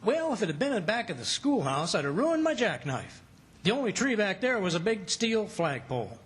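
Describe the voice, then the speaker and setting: An actor playing a blustering, folksy husband given to fibs, in 1940s radio comedy style. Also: male voice, high-pitched, big pitch swings, normal speed, husky, exaggerated voice. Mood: boastful. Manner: folksy, flustered, slangy. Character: blustering, fibbing, folksy middle-aged husband.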